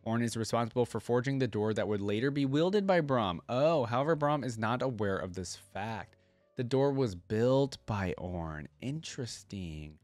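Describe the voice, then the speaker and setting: A man's voice: mid-range voice, animated, moderate pace, darkish tone, no echo, soft background music. A man hosting a reaction video, musing aloud in an easygoing way and reading bits out as he comments.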